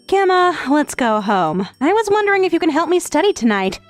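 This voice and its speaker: A woman's voice: very high-pitched, big pitch swings, fairly quick, no room sound, faint music behind. A woman playing a possessive, self-assured teenage schoolgirl in a dramatic anime dub style. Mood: friendly. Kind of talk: anime-style dub acting with narrated monologue.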